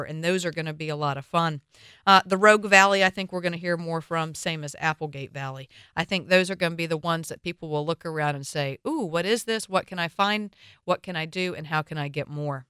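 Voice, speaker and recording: A woman's voice: fairly low pitch, sharp tone, clean tone, no echo, studio quality. A woman with a Southern US accent giving a chatty, confident solo talk on wine trends as a wine educator, with playful asides to her listeners. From American South.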